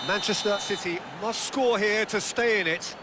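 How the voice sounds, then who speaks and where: A man's voice: high voice, normal speed. A man doing lead play-by-play for a football video game, speaking in short dramatic phrases. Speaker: football match lead commentator. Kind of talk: scripted video game sports commentary. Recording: commentary under very loud stadium crowd noise. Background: loud football stadium crowd.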